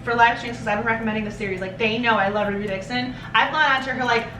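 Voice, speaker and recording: A high-voiced woman chats quickly as an interviewer, full of gushing enthusiasm and casual filler. She sounds slightly away from the mic in an echoey room. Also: steady tone, sharp tone, far from the mic, slight background noise. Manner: gushing fangirl enthusiasm, frequent "like". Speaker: interviewer, book host. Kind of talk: casual chat.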